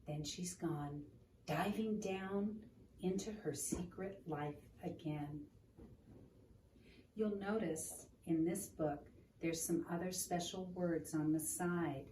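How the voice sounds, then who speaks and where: A woman reading a children's book aloud in an expressive, deliberate storytelling manner, in a roomy, noisy recording made at a distance from the microphone. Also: fairly low pitch. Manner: expressive, deliberate, asking the listener questions.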